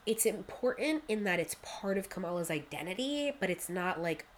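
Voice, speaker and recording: A woman's voice: medium pitch, normal speed, a little hiss or hum. A young woman co-hosting a podcast, recapping a show in casual chat, full of "like" fillers. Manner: chatty, many "like" fillers, quotes characters in lively voices. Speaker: podcast co-host.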